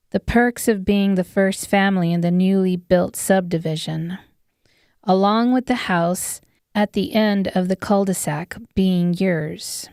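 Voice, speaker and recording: A woman's voice: medium pitch, vocal fry, a little mellow, no room sound, studio quality. A woman reading scripted audiobook narration of fiction. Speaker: author narrating her own audiobook.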